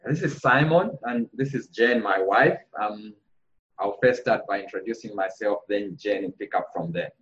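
A man from Zambia gives his testimony over a video call, his low voice dulled by the lo-fi audio. He speaks in a measured, reflective way and pauses to hesitate. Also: a bit bright. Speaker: congregation member, panelist. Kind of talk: personal testimony.

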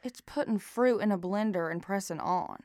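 A young woman acting in an audio drama as a casual, deadpan new co-worker. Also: medium pitch, normal speed, dry recording, clean studio sound, natural voice. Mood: dry, unimpressed. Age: young adult. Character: dry, unimpressed young woman new hire who loves country. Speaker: actor in a student audio drama.

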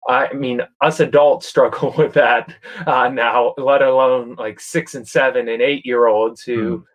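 A man's voice: medium pitch, fairly even intonation, unhurried. A man hosting an interview, asking questions and responding in an encouraging, reflective way. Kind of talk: casual interview.

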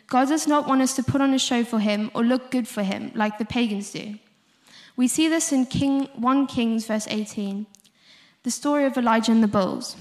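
A young woman with a South African accent and a fairly high voice delivers a short church talk. She follows a prepared sermon and slips into informal, conversational asides.

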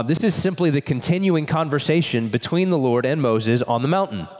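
A man with a cold, hoarse, preaching a sermon in a church in a candid, conversational way. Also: mid-range voice. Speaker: pastor. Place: church sanctuary. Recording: long reverb of a church hall.

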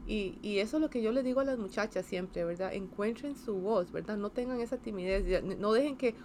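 A Costa Rican woman in her fifties talking through her career in a relaxed interview. She pauses often, restarts her sentences and keeps checking in with little tag questions. Her voice sits at a middling pitch over a faint hiss behind. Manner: hesitant, many fillers and restarts, tag questions. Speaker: engineer interviewee. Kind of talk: casual interview.

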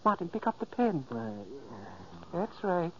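A man's voice: on the high side, expressive, normal speed, a little mellow, slight background noise, close to an everyday voice. An actor playing the ghost of a dead older man, narrating earnestly and pleading to warn his brother, in an old radio play. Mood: coaxing. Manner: urgent, pleading. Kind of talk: 1940s radio acting. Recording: dull old radio recording.

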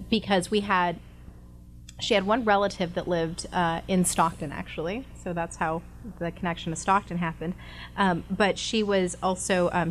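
Middle-aged woman guest from Costa Rica, lower voice, faint background noise. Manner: warm, articulate, laughs easily. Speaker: guest, college radio and television instructor. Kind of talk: interview storytelling.

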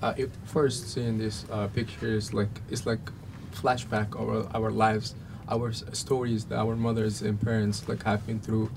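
Teenage male, raised in Egypt, lowish creaky voice, halting, background noise. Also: level tone. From Egypt, originally Somalia. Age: teens. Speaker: student guest.

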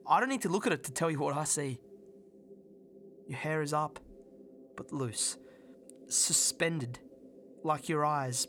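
A man's voice: fairly high pitch, fairly bright tone. An actor playing a bitter, proud male office worker who has lost his job, in emphatic, volatile drama dialogue. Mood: tender, wistful. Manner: volatile, emphatic, often profane, repeats phrases. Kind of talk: dramatic stage-play dialogue. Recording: noticeable background noise.

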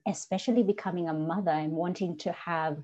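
Earnest Kenyan woman, low voice. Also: steady tone, fairly quick, a little mellow. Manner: earnest, reflective storytelling. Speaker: guest, diversity coach. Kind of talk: podcast interview.

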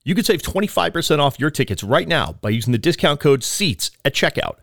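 A man hosting a podcast, a little raspy from a head cold, talking in a chatty, loose way with frequent ums. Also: fairly high pitch, big pitch swings, fairly quick, a bit bright, no echo, clean studio sound. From Southern California. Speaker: podcast host. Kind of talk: casual podcast intro and ad reads.

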